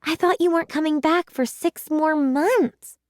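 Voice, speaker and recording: A woman voicing an eager, bubbly young girl in a children's storybook, excited and emphatic. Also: sits high, a little slow, dry recording, studio quality, voice pushed up.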